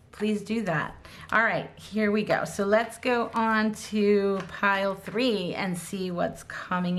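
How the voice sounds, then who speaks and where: A woman giving a casual tarot reading to her viewers, gushing and enthusiastic, her voice slightly hoarse, with many ums and restarts. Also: fairly low pitch, slight background noise. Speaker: tarot reader.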